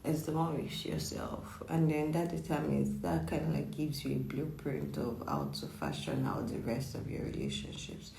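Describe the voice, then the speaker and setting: Casting note: morning-hoarse astrologer, gravelly and husky, chatty reading in an echoey room. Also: mid-range voice, animated, normal speed, few pauses, a little mellow, a little hiss or hum. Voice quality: husky, croaky. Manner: chatty, repeats words, frequent "like" and "okay?". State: hoarse from just waking up. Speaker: psychic astrologer. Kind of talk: casual weekly horoscope reading. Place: indoor room with echo.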